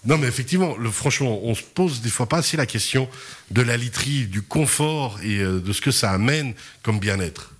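A chatty male radio host who keeps the talk moving with quick laughs and little hesitations. His pitch sits in the middle for a man, and his voice swings up and down a lot as he introduces his guests. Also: breathy edge. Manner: lively, laughs often, hesitant fillers. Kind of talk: casual radio chat.

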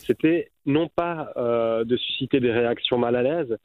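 A man's voice: medium pitch, normal speed, dry recording. Swiss French man on a tinny phone line. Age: 30s. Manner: reflective, many fillers. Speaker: guest filmmaker. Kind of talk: radio interview by phone.

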